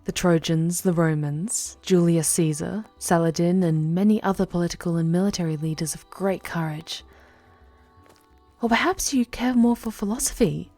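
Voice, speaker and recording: A woman playing a charming demon queen who guides a traveller through hell in an ASMR roleplay, speaking in a formal, theatrical, deliberate way with breathy near-whispers. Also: on the low side, mellow, faint music behind. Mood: inviting. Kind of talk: ASMR roleplay, dramatic monologue addressed to the listener.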